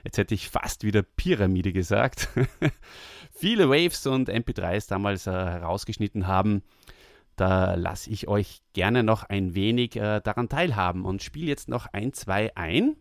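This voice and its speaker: A man hosting a podcast, chatting casually and relaxed with many fillers. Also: fairly low pitch, unhurried, no room sound, studio quality. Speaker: podcast host.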